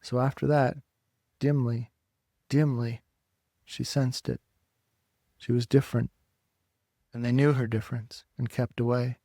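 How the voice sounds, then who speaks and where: A man narrating a literary story dramatically, in deliberate, separate phrases. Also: medium pitch, mellow, dry recording, clean studio sound. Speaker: audiobook narrator.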